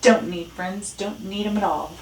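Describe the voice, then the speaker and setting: A woman's voice: on the low side, moderate pace, natural voice. A woman reading a storybook puts on a cranky loner of a rat. He is unfriendly and snappish, grumbling his short retorts. The sound is roomy and slightly distant, with faint noise. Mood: mumbling, sullen. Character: grumpy, unfriendly loner male junkyard rat. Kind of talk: children's storybook read-aloud.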